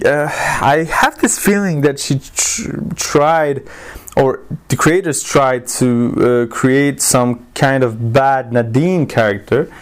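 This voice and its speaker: A man's voice: medium pitch, smooth. A man giving a casual, unscripted review as a video host, informal and blunt, often hesitating and correcting himself. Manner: hesitant, rambling delivery with frequent self-corrections.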